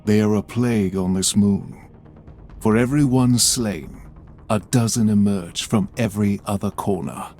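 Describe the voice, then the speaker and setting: An actor playing a grim, zealous warrior in a game cutscene, grave and declamatory. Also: male voice, on the low side, unhurried, mellow, faint music behind. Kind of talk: dramatic video game cutscene acting.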